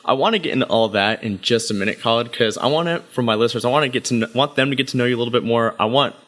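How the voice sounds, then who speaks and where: A man's voice: mid-range voice, racing, fairly bright tone. A man hosting a casual fitness podcast, easygoing and agreeable as he asks his guest questions. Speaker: podcast host.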